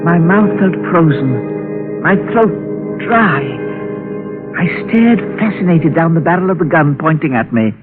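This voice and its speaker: An actress playing a tense, frightened housewife who tells her story in a dramatic, deliberate way, in 1940s radio suspense style. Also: low voice, lively intonation. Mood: frozen dread. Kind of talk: 1940s radio suspense acting, first-person narration and dialogue. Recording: tinny old radio recording with music.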